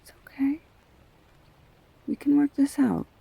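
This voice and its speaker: A woman playing a tender, reassuring girlfriend in an intimate audio roleplay, speaking haltingly and softly with a breathy, husky voice. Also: sits high, no room sound, heavily acted, low-quality recording. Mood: gentle, soothing. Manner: soft, halting, soothing, often near whispering. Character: tender, reassuring girlfriend comforting an upset partner.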